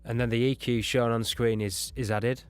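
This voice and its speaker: A man's voice: medium pitch, level tone, moderate pace, clean tone, no echo, soft background music. A man narrating an explanatory lesson as an audio engineering instructor.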